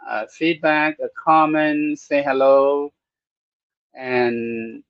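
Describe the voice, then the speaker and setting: Thai-accented male podcast host, slow and halting, dry, slightly dull sound. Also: mid-range voice, no echo. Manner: slow, halting with many "uh" pauses. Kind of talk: unscripted podcast talk.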